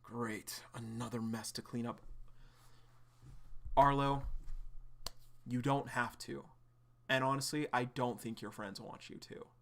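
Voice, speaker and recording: A man's voice: mid-range voice, fairly even intonation, slow, gravelly, pauses often. A man voicing a tired, stern young man who gives orders. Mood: weary. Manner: weary, commanding.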